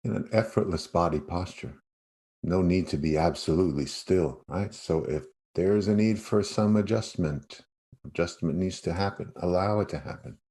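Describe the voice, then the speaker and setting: Deep, mellow-voiced man guiding meditation. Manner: unhurried, with spacious pauses. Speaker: yoga nidra meditation teacher. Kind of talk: guided meditation.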